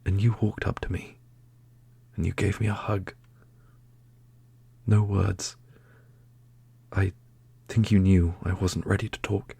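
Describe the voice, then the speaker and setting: A man playing a solemn, loyal prince and protective brother in a fantasy audio roleplay, speaking earnestly and deliberately. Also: deep voice, little pitch movement, creaky, dark tone, husky, no room sound, heavily acted. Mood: solemn, tender. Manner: earnest, deliberate, grandly heroic. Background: orchestral music.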